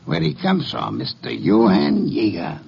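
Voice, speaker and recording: An actor playing a shrewd, imperious detective genius in an old radio drama, speaking formally and deliberately with a gravelly, pompous delivery. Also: male voice, lowish voice, wildly swooping pitch, full character voice. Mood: smugly triumphant. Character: shrewd, imperious gentleman detective genius, male, coolly taunting. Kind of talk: 1940s-50s radio drama acting. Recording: dull, lo-fi old radio recording.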